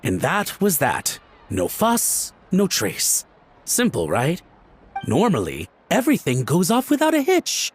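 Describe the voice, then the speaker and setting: A man's voice: fairly high pitch, huge pitch swings, measured pace, a little hiss or hum. An actor playing a nervous, fawning male antique collector in a video game cutscene, pleading and stammering. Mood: confessional.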